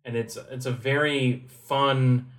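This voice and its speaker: A young man co-hosting a podcast, talking about a game in a relaxed, thoughtful way with wry humor. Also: medium pitch, takes it slowly. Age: young adult. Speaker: podcast co-host. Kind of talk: casual game discussion.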